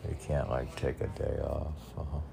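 A man casually reading poetry and chatting about it in a halting, offhand way. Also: very deep voice, flat delivery, vocal fry, dark tone, slight background noise.

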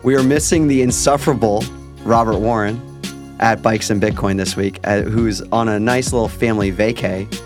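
A man's voice: on the low side, steady tone, normal speed, music in the background. A man hosting a podcast, chatting casually and rambling through stories with the odd swear. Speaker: podcast host. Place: at a park.

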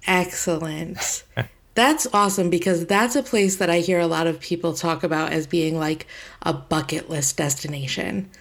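Upbeat woman podcast host, gravelly low voice. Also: steady tone, moderate pace. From New England, United States. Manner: upbeat, chatty, laughs often. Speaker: podcast host and travel planner. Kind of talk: casual travel podcast chat.

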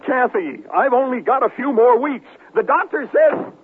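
A man's voice: very high voice, animated, normal speed. An actor playing a vain, wheedling, self-pitying television newsman, theatrical and boastful, in a 1970s radio drama. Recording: tinny, hissy 1970s radio broadcast.

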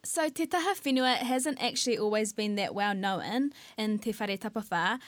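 A woman's voice: medium pitch, normal speed, no echo. A woman, an upbeat podcast host who introduces the show and asks her guest questions in a studio. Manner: upbeat and friendly. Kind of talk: podcast hosting and interview.

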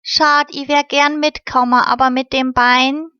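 Lady doing a polite girl, chatty dialect, high voice, slow. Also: little pitch movement, bright, forceful tone, clear, resonant tone, dry recording, lo-fi sound. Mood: cheerful. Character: cheerful injured young girl, friendly and polite.

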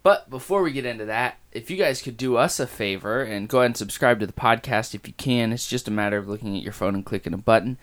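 A man with a raspy voice hosting a casual comic book podcast, talking with many pauses, fillers and restarts. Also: medium pitch, a bit bright.

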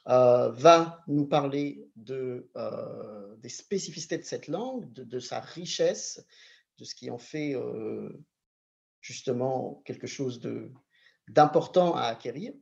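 A man with a gravelly, mid-pitched voice opens a panel talk, presenting each guest in turn. He speaks in a measured way, often pausing on hesitations. The sound is slightly muffled and lo-fi. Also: animated. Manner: measured, hesitant with frequent fillers. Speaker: moderator. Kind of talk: introducing a panel discussion.

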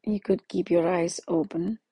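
A woman leading a guided meditation in a slow, soothing manner with long pauses. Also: on the low side, fairly even intonation, warm tone, husky, no room sound.

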